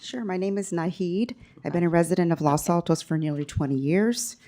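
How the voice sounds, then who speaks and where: A woman reading a poem aloud at a council meeting, loud and impassioned. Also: on the low side, a little fast. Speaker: resident public commenter.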